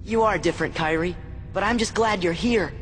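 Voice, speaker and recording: A man's voice: high voice, fairly quick, close to an everyday voice. An actor playing an earnest, emotional teenage hero boy in a video game, speaking with heartfelt sincerity. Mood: gentle, apologetic. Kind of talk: video game cutscene voice acting. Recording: game audio with long reverb, music.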